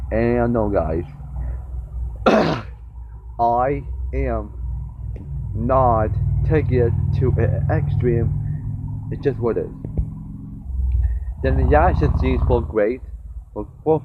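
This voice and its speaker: Young male vlogger, sore throat, rambling and repetitive, mid-pitched, dull recording with faint hiss. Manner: rambling, repeats and restarts words. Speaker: TV show reviewer. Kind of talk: casual fan review vlog.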